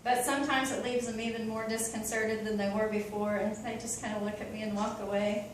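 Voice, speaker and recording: A woman's voice: fairly high pitch, little pitch movement, brisk, heavy reverb, distant mic, a little hiss or hum, low-quality recording. A woman from Oklahoma with an Oklahoma accent, talking in a chatty, open way with many ums and you-knows. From Oklahoma, USA. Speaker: convert giving a talk. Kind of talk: casual personal talk.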